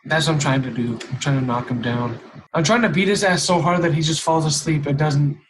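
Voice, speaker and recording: A man's voice: a little high, fairly quick, slight background noise, rough recording. A man chatting casually as a player in a tabletop game, blunt and slangy, with some swearing.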